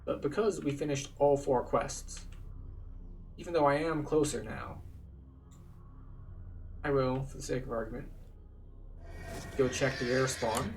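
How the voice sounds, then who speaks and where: A man's voice: medium pitch, a little slow, pauses often, soft background music. A man giving casual, explanatory commentary on a game walkthrough. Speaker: game streamer.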